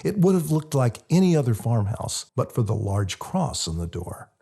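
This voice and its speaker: A man reading his own book as scripted audiobook narration, in a husky, gravelly voice. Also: medium pitch, sing-song, normal speed, mellow.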